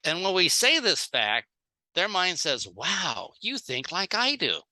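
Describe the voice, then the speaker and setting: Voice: mid-range voice, wildly swooping pitch, takes it slowly, bright, forceful tone. A speaker giving a sales training seminar in short plain phrases, acting out little example dialogues. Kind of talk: seminar talk in short phrases, paused for an interpreter.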